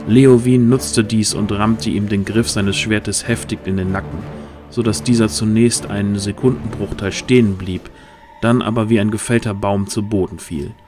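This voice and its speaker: A man narrating a scripted fantasy audio drama in a measured storytelling manner. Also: fairly low pitch.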